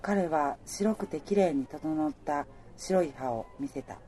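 A woman playing the wistful heroine of a radio romance, a female apparel worker narrating her own love story in a soft, reflective way. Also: sits low, a little slow. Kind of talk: radio drama narration and dialogue.

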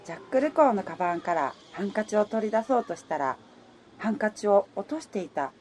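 A woman's voice: mid-range voice, very animated, a little fast, a little mellow, faint music behind, lightly acted. A woman playing a level-headed heroine in her thirties who also narrates her romance in a Japanese radio drama. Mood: reflective narration.

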